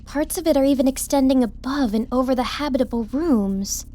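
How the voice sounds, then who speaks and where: A woman playing a youthful, enthusiastic, easily awed sidekick in a science fiction audiobook, with an eager, openly expressive delivery. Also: high voice, fairly quick, close to an everyday voice. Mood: insistent, curious.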